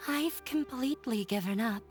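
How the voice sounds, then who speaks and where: An actress playing a wounded but resolute young runaway performer in a video game, speaking earnestly and with measured emotion. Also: female voice, on the high side, lightly acted. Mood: hurt, bitter. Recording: music behind the voice.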